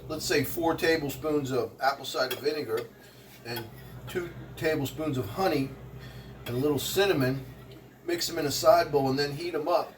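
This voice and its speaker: A man's voice: on the high side, distant mic, a little hiss or hum. Chatty older man in his kitchen. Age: 60s. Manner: rambling, chatty, animated asides to the viewer. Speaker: vlogger and motivational speaker. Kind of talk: casual chat while cooking in a kitchen. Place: home kitchen.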